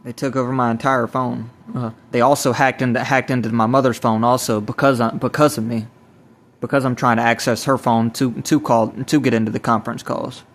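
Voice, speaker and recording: A man giving a rambling personal testimony as an evangelist, speaking haltingly and repeating his phrases. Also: mid-range voice, little pitch movement. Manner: halting, repetitive, restarting phrases. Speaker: self-described evangelist recording a personal video. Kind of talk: rambling spoken testimony to camera or phone.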